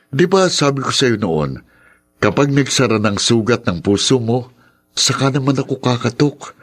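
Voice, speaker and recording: A man's voice: medium pitch, expressive, normal speed, natural voice. An actor in a Tagalog radio drama playing a devoted, persistent suitor, sincere and teasing as he woos. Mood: teasing, earnest.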